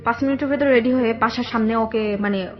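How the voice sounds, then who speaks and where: A young woman with a Bangladeshi accent telling a personal story conversationally, haltingly, often circling back over details. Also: a little high, fairly even intonation, highs cut off, soft background music. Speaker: guest telling her life story.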